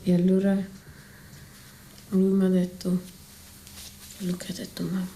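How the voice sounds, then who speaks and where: Mellow-voiced woman prompting in class. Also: on the low side, completely flat delivery, moderate pace, creaky, a little hiss or hum. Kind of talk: classroom talk.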